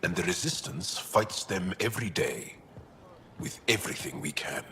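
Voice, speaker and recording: Deep-voiced, solemn alien resistance officer. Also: male voice, expressive, normal speed, creaky, bright, forceful tone, a little hiss or hum, heavily acted. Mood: grave. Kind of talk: dramatic video game dialogue. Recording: faint background ambience.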